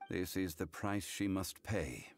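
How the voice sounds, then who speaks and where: An actor playing a calm, solemn ancient man, a wise former god in a video game, who speaks in a formal, measured, reflective way. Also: deep voice, a little hiss or hum. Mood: calm, grave. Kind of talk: English game voice acting, story dialogue.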